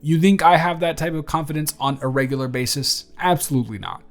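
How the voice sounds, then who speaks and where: A man's voice: on the high side, fast, soft background music, lightly acted. A young man playing a friendly, smitten male barista in an intimate romantic audio roleplay, earnest, conversational and slightly flustered. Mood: flustered, candid. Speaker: voice actor.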